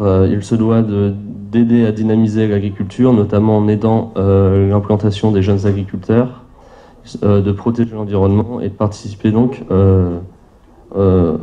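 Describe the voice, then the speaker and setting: A man giving a formal conference presentation with slides as a public agency representative, speaking haltingly with frequent hesitations and repeated words. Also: on the low side, warm tone, highs cut off.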